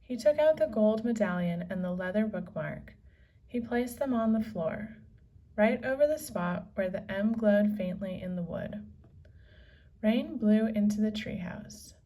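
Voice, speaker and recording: A woman reading a children's story aloud as narrator, with measured, deliberate phrasing. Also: medium pitch, warm tone. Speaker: storybook reader. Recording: slightly distant from the microphone.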